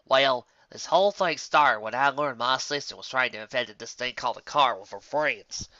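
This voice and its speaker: A man's voice: mid-range voice, moderate pace, sharp tone, no echo, muffled recording, close to an everyday voice. A man voicing a plain-spoken young female farm pony in an earnest, direct manner for a story reading. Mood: explaining.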